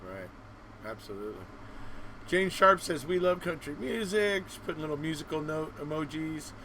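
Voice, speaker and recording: Higher-pitched man hosting a casual live chat. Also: lively intonation, normal speed, sharp tone, rough recording. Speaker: live-stream host and interviewer. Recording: faint background noise.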